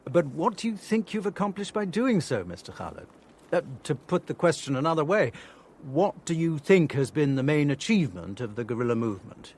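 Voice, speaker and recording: An actor playing a dry, sceptical foreign correspondent in a radio drama, narrating and asking questions. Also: male voice, a little high, expressive, a little fast, a little mellow, a little hiss or hum. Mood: probing.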